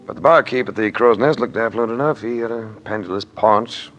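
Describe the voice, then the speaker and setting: A man's voice: on the low side, a little fast, fairly bright tone, lightly acted. A man playing a cool, tough insurance investigator in a 1950s radio detective drama, dry, terse and hard-boiled. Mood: wry, narrating. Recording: old radio recording with clear noise.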